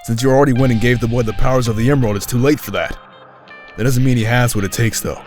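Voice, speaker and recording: A man's voice: medium pitch, fairly even intonation, fast talker, darkish tone, faint music behind, close to an everyday voice. Stern, scolding male guardian, acted by a man. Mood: coldly resolute. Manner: scolding, exacting. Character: Dart, stern, critical, skeptical male guardian. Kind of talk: audio drama acting.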